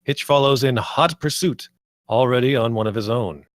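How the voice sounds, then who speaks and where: A man reads screenplay directions as scripted narration. His voice is mid-pitched, and the sound is close and dry with no room on it. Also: normal speed, no echo. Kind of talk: scripted narration at a table read.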